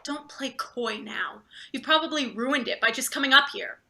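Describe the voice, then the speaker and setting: A woman's voice: sits high, normal speed, sharp tone. A woman acting a ruthless, irritated female boss in a theatre table read, with a curt, pressing manner. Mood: accusing. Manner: curt, pressing, stammers deferentially to superiors.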